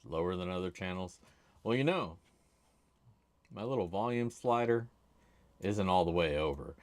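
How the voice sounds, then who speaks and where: A chatty man hosts his own livestream from a home setup, reading out names from the chat. His voice is loud and sits fairly low, but it rises and falls a lot. The desk mic sounds close and dry. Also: lively intonation, moderate pace, no room sound. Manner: chatty, laid-back, reads chat names aloud. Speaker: livestream host. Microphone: desk microphone.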